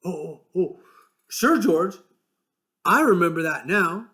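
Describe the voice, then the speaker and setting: A man voicing a big, slow-witted, gentle man who stammers eagerly and pleads softly. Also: high voice, animated, pitched above the performer's own voice, exaggerated voice.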